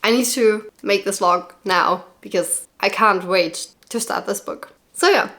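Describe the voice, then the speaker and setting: A young woman in casual vlog talk, gushing and excitable with frequent fillers, still getting over a cold. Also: mid-range voice, measured pace. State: recovering from a cold. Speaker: book vlogger.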